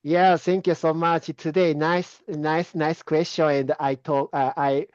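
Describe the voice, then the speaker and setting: A man with a Japanese accent, a guest answering interview questions in halting English full of fillers and restarts. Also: fairly high pitch, little pitch movement, darkish tone, smooth, dry recording, highs cut off. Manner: hesitant, many fillers and restarts.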